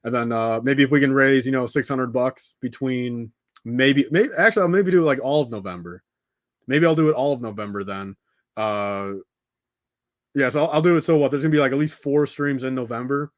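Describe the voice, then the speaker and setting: A man's voice: medium pitch, brisk, dry recording, studio quality. Casual, rambling young man livestreaming. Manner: rambling, informal, peppered with dude and man. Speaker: live streamer hosting a building stream. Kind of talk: casual unscripted livestream chat with viewers.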